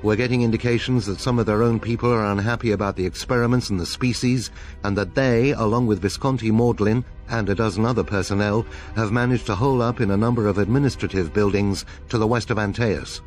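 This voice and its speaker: An actor playing a serious military commander giving a scripted mission briefing in a crisp, urgent manner. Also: male voice, fairly low pitch, fairly even intonation, fast talker, faint music behind, natural voice.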